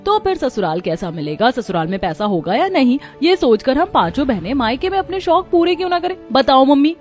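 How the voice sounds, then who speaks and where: A woman voices a spoiled young daughter in a storybook moral tale. She is carefree and coaxing, with a girlish, raised pitch. Faint music plays behind her. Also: big pitch swings, a little fast, darkish tone. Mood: breezy, coaxing. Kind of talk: Hindi moral story voice acting.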